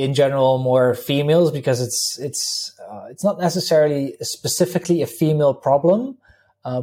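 A man in his thirties from the Netherlands with a Dutch accent, a guest coach answering interview questions in a halting way with many fillers and restarts. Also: mid-range voice, dark tone.

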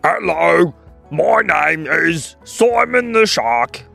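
Playful teasing shark, voiced by a man, slow gravelly drawl, soft music under. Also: on the high side, sing-song, pitched above the performer's own voice, heavily acted. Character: playful friendly male shark who laughs at others.

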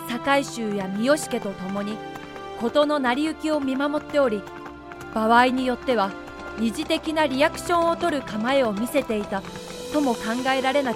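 A woman giving polite, explanatory scripted narration for a historical audio drama. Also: sits high.

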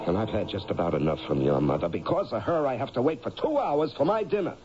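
An American actor playing a bitter, hot-tempered out-of-work coal miner in a 1970s radio drama, emphatic and agitated, repeating phrases for weight. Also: male voice, mid-range voice, very animated, quick pace, over loud music, natural voice. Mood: indignant, bitter. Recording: dull, faintly noisy 1970s radio broadcast.

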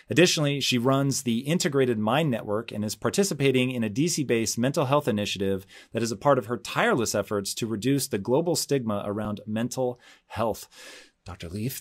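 Guy hosting an interview, normal mid voice, curious and articulate. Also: mid-range voice. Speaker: interview show host. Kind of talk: conversational interviewing.

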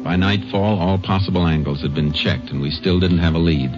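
An actor playing a calm Texas Ranger in a 1950s radio crime drama, with a clipped, matter-of-fact American delivery. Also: male voice, low voice, a little fast, loud music behind the voice, natural voice. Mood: brisk, serious. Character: calm, methodical male Texas Ranger investigator and narrator. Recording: muffled, noisy old radio recording.

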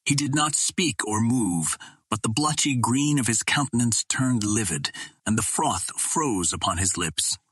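A man reading a first-person adventure story as a dramatic, expressive audiobook narrator. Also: medium pitch, unhurried, slightly rough, no room sound.

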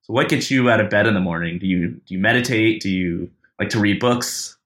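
Deep-voiced podcast host, relaxed. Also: male voice, brisk. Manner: relaxed, conversational questioning. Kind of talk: casual interview.

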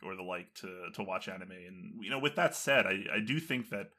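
Man, bright forceful tone, enthusiastic casual talker, lots of fillers. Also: low voice, animated, moderate pace. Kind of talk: casual conversation.

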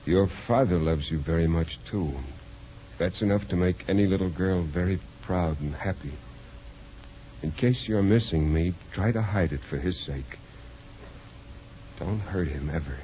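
An American actor playing an earnest, troubled, middle-aged divorced father in 1950s radio drama, speaking tenderly and haltingly. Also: low voice, dark tone, slight background noise. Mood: tender, subdued. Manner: hesitant, halting. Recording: dull 1950s radio recording with music.

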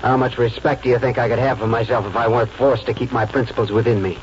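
A man's voice: mid-range voice, steady tone, slight background noise, lightly acted. Man playing a principled lawyer, muffled old radio. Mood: quietly firm. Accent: American. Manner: earnest, measured, oratorical. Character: principled, idealistic small-town lawyer with quiet conviction. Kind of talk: 1940s radio acting and first-person narration. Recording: muffled old radio broadcast, music behind.

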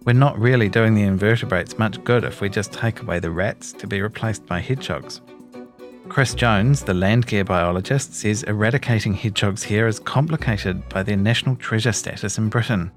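Low-voiced New man narrating a feature. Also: moderate pace, soft background music. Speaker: narrator reading a newspaper feature. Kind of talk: scripted narration of a news feature.

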